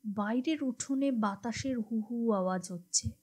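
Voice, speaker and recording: A woman's voice: on the high side, unhurried, noisy background. A woman narrating a scripted horror story in a dramatic storytelling style. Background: music behind the voice.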